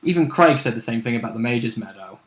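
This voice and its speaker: A man's voice: medium pitch, animated, fast talker. A man co-hosting a casual chat about game rules, explaining them in a matter-of-fact way. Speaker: co-host discussing game rules. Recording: roomy, echoing room sound.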